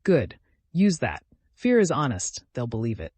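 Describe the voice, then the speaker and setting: A man's voice: medium pitch, huge pitch swings, measured pace, darkish tone, husky, no echo, heavily acted. A man voicing a professional, direct woman prosecutor with controlled tension in a dramatic audio story. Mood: measured.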